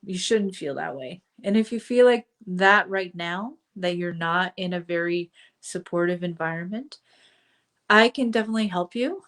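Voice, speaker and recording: A woman's voice: fairly low pitch, normal speed, a bit bright. Chatty woman with a slight cold. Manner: chatty, with frequent fillers and asides. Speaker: coach hosting a live stream. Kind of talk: casual live-stream talk to viewers.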